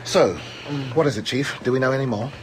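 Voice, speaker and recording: A man's voice: medium pitch, a little fast, noisy background, natural voice. A man playing a shrewd expedition doctor in an audio drama, probing and matter-of-fact. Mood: questioning.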